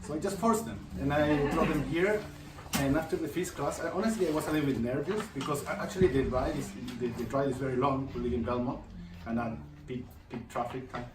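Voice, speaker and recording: A man's voice: medium pitch, a little fast. A man, a parent, giving an informal testimonial speech. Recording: echoey room, distant mic, clear noise.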